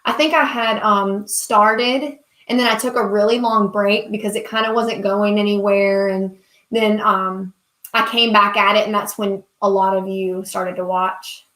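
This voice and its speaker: A woman's voice: medium pitch, steady tone, unhurried, pushed and bright. A young woman with a Southern US accent chats casually while working on crafts, gushing and thanking her viewers on a live stream. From South Carolina, US. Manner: chatty and gushing, reading viewer comments aloud. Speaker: crafting channel host. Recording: roomy echo.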